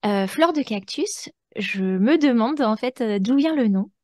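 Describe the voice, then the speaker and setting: A woman's voice: fairly high pitch, animated, a little slow, darkish tone, no echo. A woman interviewer at a close microphone in a dry room, chatting informally and thoughtfully as she leads a podcast conversation.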